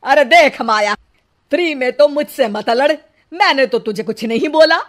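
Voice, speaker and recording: A woman voicing a quarrelsome, scornful old mother-in-law in a cartoon, with a scolding, sharp-tongued manner. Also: sits high, lively intonation, normal speed, voice pushed down. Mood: indignant. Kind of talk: exaggerated Hindi cartoon family drama.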